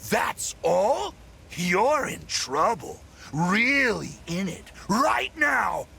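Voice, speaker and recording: A man playing a loud, taunting bandit in a video game cutscene, mocking and theatrical, repeating himself as he drawls. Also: sits high, sing-song, drawn-out words, vocal fry, husky, exaggerated voice. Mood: mocking, menacing. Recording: loud music under the voice.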